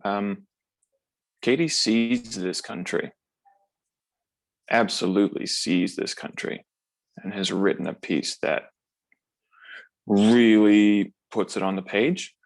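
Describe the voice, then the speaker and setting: A man giving a heartfelt panel reflection in a halting, deliberate, earnest way. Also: lowish voice. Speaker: actor.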